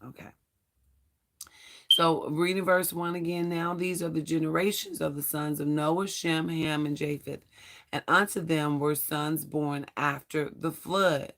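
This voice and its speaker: A Bible study host with a hoarse, strained voice, reading scripture aloud and adding earnest spiritual commentary, stumbling now and then over hard names. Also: mid-range voice, fairly even intonation, measured pace, fairly bright tone. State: hoarse, losing voice.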